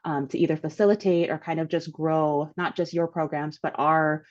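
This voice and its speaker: A woman's voice: sits low, fairly even intonation, moderate pace, darkish tone, muffled recording. A woman from Hawaii asking an earnest, rambling question on a video call. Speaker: meeting participant.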